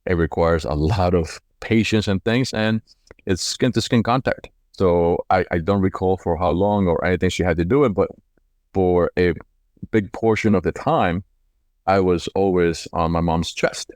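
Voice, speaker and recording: A man's voice: deep voice, normal speed, a little mellow, no echo, studio quality. A man in his forties from Colombia, telling his life story casually in an interview, reflective and conversational, repeating words as he goes. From Cali, Colombia. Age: 40s. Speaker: company CEO being interviewed.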